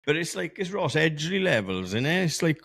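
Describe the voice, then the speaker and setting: A man's voice: a little high, sing-song, a little fast, fairly bright tone. A man co-hosting a podcast, asking long, rambling questions with restarts and hedges. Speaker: podcast co-host. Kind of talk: casual podcast chat.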